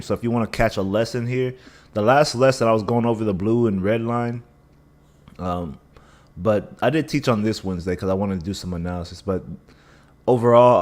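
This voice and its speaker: Man, scratchy and dry-mouthed, low voice, loose live-stream chart talk with restarts. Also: a little mellow. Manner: thinking aloud, restarts, hedging. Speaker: livestream trading host. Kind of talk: casual live chart analysis.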